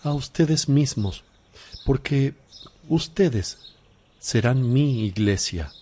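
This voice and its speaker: Calm solemn religious teacher, slow deliberate phrasing, warm dark timbre, radio drama. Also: male voice, mid-range voice. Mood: solemn teaching.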